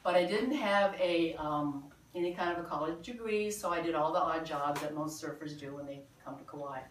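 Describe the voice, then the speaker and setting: Older woman, halting talk in an echoey room. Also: deep voice, distant mic, slight background noise. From Philippines. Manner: halting, many fillers, earnest. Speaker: presenter at a sales meeting. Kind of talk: informal presentation. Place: meeting room.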